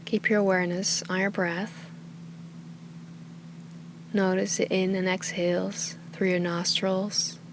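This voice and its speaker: A woman teaching meditation with a calm, soothing, unhurried delivery that often trails into breathy softness. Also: medium pitch, background noise. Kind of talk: guided meditation, soft slow instruction.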